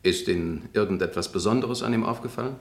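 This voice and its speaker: Actor as fast-talking, precise male prosecutor, formal radio courtroom drama, echoing room. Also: fairly low pitch, natural voice. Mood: brisk questioning.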